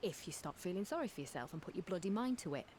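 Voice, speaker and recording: An actress playing a blunt, no-nonsense friend in a fantasy video game, chiding in colloquial speech. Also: female voice, fairly low pitch, expressive, fast, a little mellow, slight background noise, close to an everyday voice. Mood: scolding. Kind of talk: dramatic video game voice acting.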